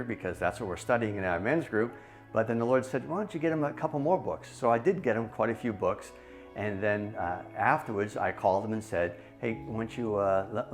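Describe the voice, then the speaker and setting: Halting man with soft music behind. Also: on the low side. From New Hampshire, USA. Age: 40s. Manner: halting, many fillers and restarts. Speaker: church member, small business owner. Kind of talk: testimony video interview.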